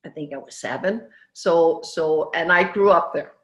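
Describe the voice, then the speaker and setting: A woman from Denmark who grew up in Venezuela, speaking English with an accent as an interview guest, animated and laughing often. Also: sits low, moderate pace, roomy sound. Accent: foreign accent. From Denmark, raised in Venezuela. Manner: animated storytelling, laughs often, many restarts. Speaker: entrepreneur guest. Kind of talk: casual interview chat.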